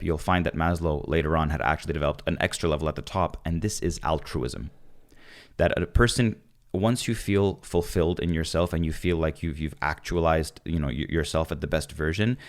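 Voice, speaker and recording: A young man from Canada speaks as a podcast guest with an extremely low, deep voice. He talks quickly and animatedly, full of fillers and restarts, in a relaxed conversational style. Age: young adult. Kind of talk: casual conversation.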